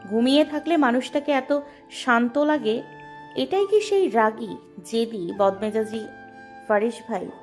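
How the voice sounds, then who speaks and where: Shy, easily hurt young heroine, played by a woman, highish voice, hesitant delivery, romantic audio drama with music. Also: animated, unhurried, pauses often. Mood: wondering, nervous. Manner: hesitant, soft-hearted. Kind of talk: dramatised audio love story. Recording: background music under the voice.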